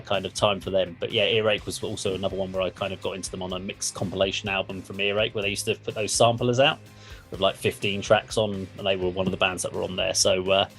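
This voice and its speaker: A man's voice: on the low side, fairly bright tone. A man hosting a casual music discussion, explaining things in an informal, sweary way. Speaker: music reaction show host.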